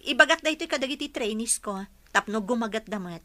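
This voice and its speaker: A woman's voice: a little high, lively intonation, fairly quick, sharp tone. An Ilocano-accented woman playing a cheerful, modest training coordinator in a radio drama. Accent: Filipino (Ilocano).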